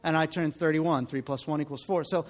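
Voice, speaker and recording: Male pastor, 30s, high voice, casual sermon delivery with restarts, big reverberant hall. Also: steady tone, brisk. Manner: conversational preaching, repeats words when starting phrases.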